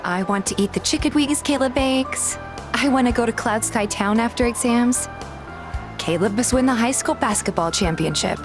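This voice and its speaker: A woman's voice: a little high, normal speed, close to an everyday voice. A woman playing a pouty, loyal young heroine who complains playfully in a fan dub of a romance game. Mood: nostalgic, teasing. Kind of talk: fan dub dialogue with first-person narration. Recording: clear background music.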